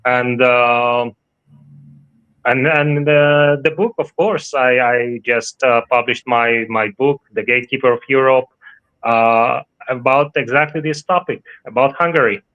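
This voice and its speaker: Halting Israeli-born man, bright dull sound. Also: mid-range voice, fairly even intonation, smooth. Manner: halting, many fillers and restarts. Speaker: guest. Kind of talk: interview answers.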